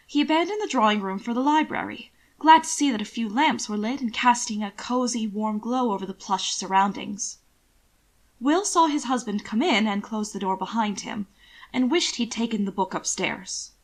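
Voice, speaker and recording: A woman reading scripted fiction aloud as narrator, in a measured, expressive storytelling manner. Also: a little high.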